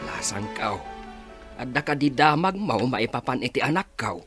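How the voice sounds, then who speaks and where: A man playing an anxious older village father with a husky voice in a radio drama. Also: mid-range voice, big pitch swings, normal speed, loud music behind the voice, close to an everyday voice.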